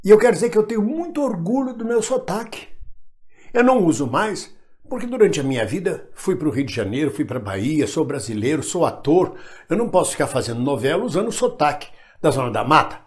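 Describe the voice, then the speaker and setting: A man's voice: a little high, very animated, a little fast, darkish tone. An older Brazilian man from Minas Gerais, an actor speaking straight to camera at home, emphatic and proud as he defends himself. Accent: Brazilian Portuguese, national standard. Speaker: actor addressing followers. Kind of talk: direct-to-camera monologue. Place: home room with bookshelf, indoors.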